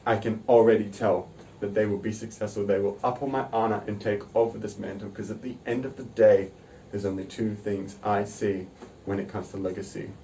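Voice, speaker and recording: A young man, a student, reciting slam poetry earnestly and in a steady rhythm. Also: lowish voice, brisk. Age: young adult. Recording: distant pickup with faint noise.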